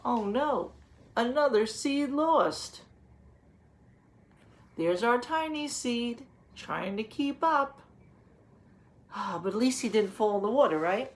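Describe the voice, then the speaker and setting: A woman's voice: fairly high pitch, lively intonation, slow pace, long pauses. A woman teacher reading a picture book aloud to young children, patiently explaining in simple words and putting questions to the listeners.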